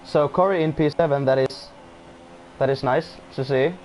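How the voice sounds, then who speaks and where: A man's voice: on the high side, normal speed, darkish tone, a little hiss or hum, low-quality recording. A man who is not a native English speaker commentating casually on an online race, often restarting sentences and apologizing. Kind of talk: casual live sim-racing commentary.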